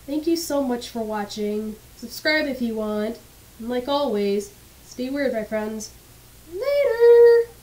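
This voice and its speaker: A woman's voice: fairly high pitch, animated, slow pace, warm tone, rough recording. A young woman with a slightly stuffy, congested voice, casually narrating a personal story with blunt, candid remarks and casual swearing.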